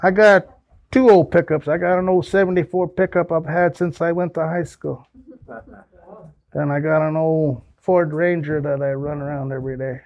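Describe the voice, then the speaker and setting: A man's voice: on the high side, steady tone, moderate pace, gravelly, dry recording, dull audio. An older man from the Pacific Northwest telling folksy, rambling stories to an audience as a guest speaker. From Pacific Northwest, United States. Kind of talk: storytelling talk with audience questions. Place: small hall with audience.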